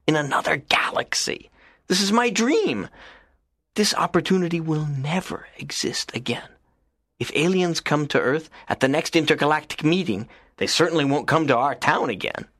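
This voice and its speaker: An actor playing a lonely, earnest teenage schoolboy in an audiobook, talking in a soft, low, pleading way. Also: male voice, dry recording, studio quality. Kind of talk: audiobook character reading.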